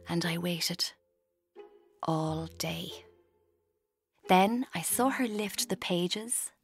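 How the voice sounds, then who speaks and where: An actress playing a watchful woman in her twenties, narrating her own story in a confiding, measured, wry way for a radio drama. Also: fairly low pitch, gravelly. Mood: watchful, tense. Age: 20s.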